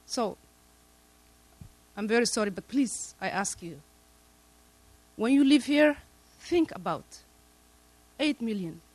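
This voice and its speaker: A man's voice: extremely high pitch, expressive, slow pace, long pauses, no echo, poor audio. Pleading man from Somalia. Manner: emotional, pleading, repetitive. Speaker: panelist. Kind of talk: impassioned appeal to an audience.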